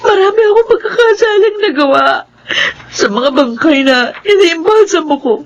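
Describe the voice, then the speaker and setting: An actress playing a haunted, guilt-ridden grown daughter in a Filipino radio horror drama, with a Filipino accent and theatrical delivery. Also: female voice, very high voice, expressive, moderate pace, dull audio. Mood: guilty, tearful.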